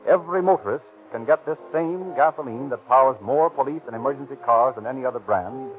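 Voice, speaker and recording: A man's voice: mid-range voice, fast, soft background music. Tinny 1930s American radio announcer, male. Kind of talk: 1930s radio scripted sponsor read and narration.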